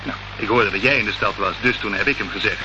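A deep, conversational man's voice from an actor as a concerned, inquisitive associate in a radio play. He is slightly hesitant. The sound is muffled, with plain background noise from an old recording. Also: quick pace, natural voice. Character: concerned, inquisitive male associate of a private investigator. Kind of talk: Dutch radio play acting.

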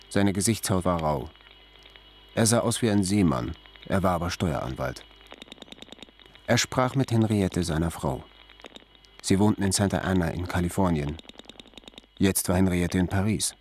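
A man narrating scripted literary prose in a measured, declarative manner. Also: low-pitched, darkish tone, slight background noise.

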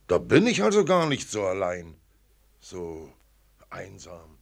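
A gruff, lonely magnate in a radio drama. His voice is gravelly and slow, with very wide swings in pitch, blunt and irascible, drawing out his words. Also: huge pitch swings, exaggerated voice. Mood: moved, subdued. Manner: blunt, incredulous, drawn-out words. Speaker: actor in a radio play. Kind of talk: German radio drama acting.

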